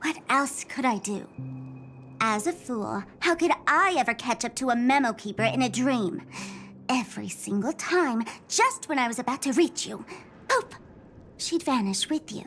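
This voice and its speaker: A woman's voice: a little high, expressive, normal speed, a bit bright, slight background noise. An actress playing a theatrical, teasing young trickster woman in an anime-style game, with a smug, sing-song delivery. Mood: sly, dramatic. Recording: music behind the voice. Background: game soundtrack music.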